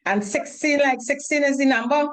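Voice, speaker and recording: A woman's voice: high voice, moderate pace. A woman co-hosting a talk show, putting questions to her guests conversationally. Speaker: co-host. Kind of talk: casual chat.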